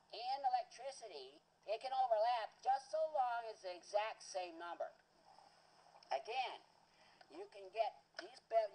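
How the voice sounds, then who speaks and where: A man with an unusually high voice walks through his homemade device. He rambles, loses his thread and fills gaps with "uh." His pitch swings widely from line to line. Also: a little mellow, a little hiss or hum, rough recording. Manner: rambling, hesitant, many fillers and restarts. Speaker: home inventor demonstrating a device. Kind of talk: rambling hands-on demonstration.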